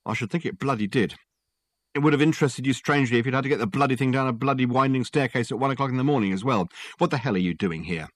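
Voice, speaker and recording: A man doing a gruff, blustering police sergeant. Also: medium pitch, quick pace, no echo, voice pushed up, close to an everyday voice. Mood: exasperated. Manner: loud, sarcastic, threatening.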